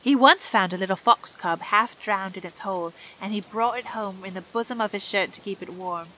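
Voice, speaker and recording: An actress playing a talkative, kindly young housemaid. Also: female voice, mid-range voice, normal speed, sharp tone, slight background noise, natural voice, low-quality recording. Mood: chatty. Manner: homely and talkative.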